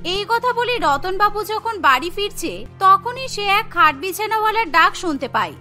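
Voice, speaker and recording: A woman narrates a cartoon story in a very high-pitched voice. Her tone is bright and her delivery scripted. Soft music plays behind her. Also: expressive, normal speed.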